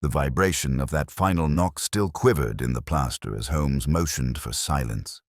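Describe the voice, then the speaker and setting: Very deep-voiced male mystery narrator. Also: moderate pace, no room sound, clean studio sound. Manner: solemn, atmospheric storytelling. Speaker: audiobook narrator. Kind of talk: scripted first-person mystery narration.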